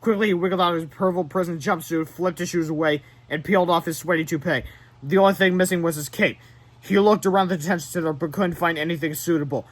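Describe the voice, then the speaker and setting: A man narrating a children's book aloud in an animated way, stumbling and correcting himself now and then. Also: a little high, fast talker, no echo. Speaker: storybook reader.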